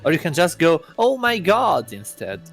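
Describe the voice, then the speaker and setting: A man's voice: a little high, wildly swooping pitch, moderate pace, creaky, fairly bright tone, soft background music, voice pushed up, exaggerated voice. A man voicing a smug self-proclaimed god posing as an awkward teenage student, pompous and theatrical, for a visual novel. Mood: smug, grandiose.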